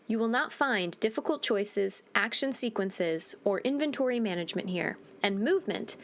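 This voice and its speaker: A woman's voice: medium pitch, lively intonation, moderate pace. A woman hosting a game playthrough with casual, chatty, rambling commentary. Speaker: gaming video host.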